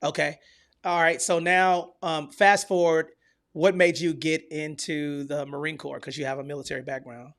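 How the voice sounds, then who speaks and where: A man's voice: on the high side, little pitch movement, normal speed, fairly bright tone. A man hosting a podcast, interviewing in an informal, jovial, bantering way. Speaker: podcast host.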